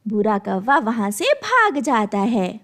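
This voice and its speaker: A woman's voice: a little high, very animated, slow pace. A woman storyteller reading scripted narration for a children's moral story.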